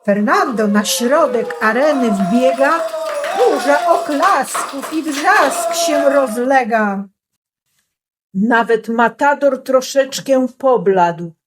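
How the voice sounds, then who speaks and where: A woman narrating a rhymed children's story with theatrical, deliberate, emphatic phrasing on a noisy amateur recording. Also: high-pitched, animated, slightly rough. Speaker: storyteller in a community radio play.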